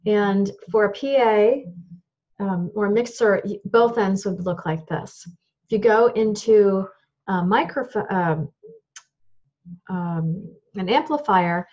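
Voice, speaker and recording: High-voiced woman teaching microphone technique. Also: darkish tone, muffled recording. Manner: practical, demonstrating equipment as she explains. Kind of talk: instructional talk to camera.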